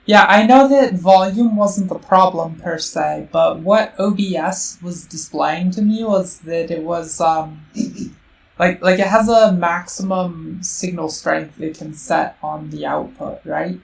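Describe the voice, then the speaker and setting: Slow, gravelly woman streamer with a sore throat. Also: fairly low pitch. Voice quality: slightly robotic-sounding voice. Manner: thinks aloud with many fillers and restarts. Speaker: game streamer. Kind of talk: casual live-stream game commentary. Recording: roomy, distant mic with faint noise.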